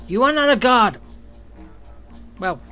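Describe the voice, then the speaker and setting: A woman's voice: on the high side, lively intonation, slow pace, bright, forceful tone, faint music behind. A woman giving casual gameplay commentary as a streamer, musing aloud as she reacts. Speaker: game streamer.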